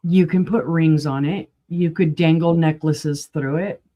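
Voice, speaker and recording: A woman running a live auction sale, chatty and enthusiastic, with a voice that is going hoarse. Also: low voice, fairly even intonation, unhurried, dark tone. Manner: chatty, enthusiastic, rambling asides. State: losing her voice, clearing throat.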